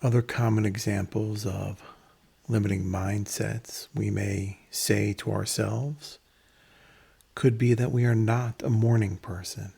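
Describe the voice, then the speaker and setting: Husky, low-voiced man guiding meditation slowly. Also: little pitch movement, mellow. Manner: measured, instructional, pausing between phrases. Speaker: meditation guide. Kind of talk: guided meditation.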